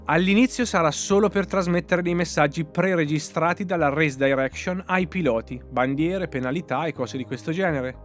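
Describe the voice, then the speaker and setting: A man with a slightly high voice narrates a scripted opinion piece as a motorsport commentator. He is emphatic and sarcastic, leaning on rhetorical questions, and music plays behind his voice. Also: normal speed, few pauses. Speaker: motorsport commentator, channel host.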